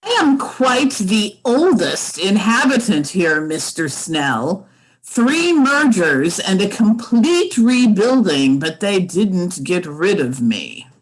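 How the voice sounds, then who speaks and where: A woman's voice: medium pitch, big pitch swings, low-quality recording. A woman playing a snobbish, commanding old matriarch in a radio play, drawing out her words haughtily. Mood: haughty, appraising. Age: older. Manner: haughty, drawn-out, commanding delivery. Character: imperious, snobbish old grand dame matriarch. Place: remote home recording over video call. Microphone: video call.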